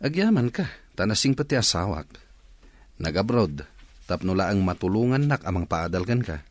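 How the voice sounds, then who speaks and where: A married man with a roving eye in a radio drama. His low voice swings widely in pitch, slick, coaxing and quick to change temper. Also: sing-song, dry recording. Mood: earnest. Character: smooth-talking married man, flirtatious, evasive womanizer. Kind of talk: radio drama acting in Ilocano.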